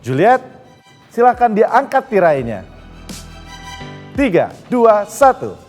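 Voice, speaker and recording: A man's voice: high-pitched, sing-song, slow, big-room sound, soft background music. Upbeat male dating-show host. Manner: upbeat, teasing, builds suspense. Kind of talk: lively TV dating-show hosting.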